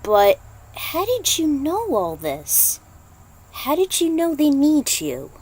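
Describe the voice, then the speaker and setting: A woman's voice: sits high, wildly swooping pitch, takes it slowly, a little mellow, voice pushed up, heavily acted. A woman voicing a fretful, easily startled young woman in an amateur story reading. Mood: puzzled.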